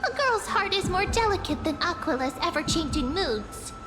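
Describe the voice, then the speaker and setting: A woman's voice: very high voice, expressive, normal speed. A woman voicing a small, cute, girlish fairy creature in a video game, playful, coy and theatrical. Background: background music.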